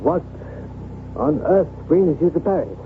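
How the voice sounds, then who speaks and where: An actor playing a cordial, courtly Parisian gentleman and loyal friend in a 1970s radio drama. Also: fairly high pitch, big pitch swings, a little slow. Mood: surprised, welcoming. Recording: tinny narrow-band old radio, faint noise.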